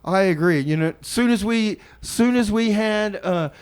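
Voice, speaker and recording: A man's voice: high-pitched, a little slow, clean tone. A man hosting a community radio music show, bantering casually and back-announcing songs in a folksy, rambling way. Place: radio studio.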